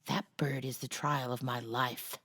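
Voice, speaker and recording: A woman's voice: rumbling low, fairly even intonation, unhurried, fairly bright tone, husky, no echo, voice pushed down, heavily acted. A woman voicing an earnest, self-important young girl in a storybook, speaking primly. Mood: prim, chatty. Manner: prim, earnest.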